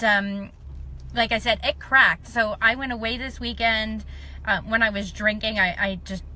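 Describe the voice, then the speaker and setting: A woman's voice: medium pitch, sharp tone, slight background noise. A middle-aged woman with a slight lisp, chatting casually and self-deprecatingly on a live stream. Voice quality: slight lisp from a dental appliance.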